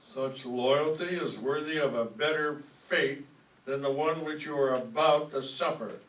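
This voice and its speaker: Man playing a stern, sharp-voiced, blustering school principal. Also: mid-range voice, a little slow, close to an everyday voice. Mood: menacing. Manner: pompous, menacing formality. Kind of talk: old-time radio comedy acting. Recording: noisy, echoey stage, far from mic.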